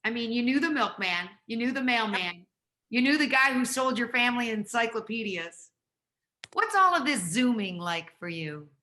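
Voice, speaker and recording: Bright-voiced woman interviewer. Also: a little high, expressive, moderate pace. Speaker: interviewer, health reporter. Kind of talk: conversational broadcast interviewing.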